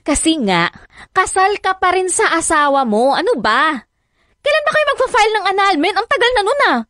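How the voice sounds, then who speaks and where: A woman's voice: extremely high pitch, sing-song, moderate pace, a bit bright, dry recording, clean studio sound, heavily acted. An actress playing a hurt, insistent young mother and mistress in a Filipino radio drama, pleading and confrontational. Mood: exasperated.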